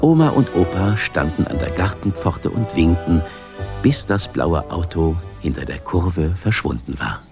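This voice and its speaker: Low-voiced man narrating a children's story. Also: animated, unhurried, creaky, over music.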